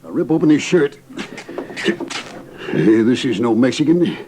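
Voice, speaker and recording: An actor playing a folksy, excitable sheriff in an old-time western radio drama. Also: male voice, mid-range voice, unhurried. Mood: astonished. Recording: noisy vintage radio recording.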